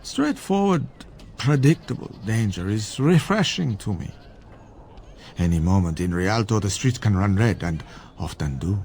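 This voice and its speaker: Suave, animated fantasy merchant. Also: male voice, on the low side, measured pace, slight background noise. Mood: wistful, worldly. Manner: courtly, smooth-talking, philosophical. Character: suave, worldly Antivan merchant with shady dealings. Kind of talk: fantasy video game dialogue.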